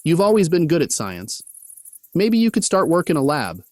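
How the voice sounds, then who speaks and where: A man's voice: fairly high pitch, wildly swooping pitch, normal speed, darkish tone, no room sound, studio quality. A man acting an easygoing, slightly stressed college-age artist in an audio drama, talking casually with some hesitation. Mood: casual, animated. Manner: casual, hesitant slangy talk.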